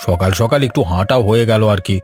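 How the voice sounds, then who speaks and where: A man voicing a gentle, considerate village farmer husband in a Bengali cartoon. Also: fairly low pitch, fast talker, soft background music, lightly acted. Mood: affectionate.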